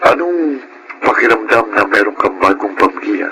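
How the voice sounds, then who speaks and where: A man playing a gentle, curious older brother in a Filipino radio drama, conversational and questioning. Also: fairly low pitch, expressive, moderate pace, natural voice. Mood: curious, friendly. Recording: clear background noise under voice.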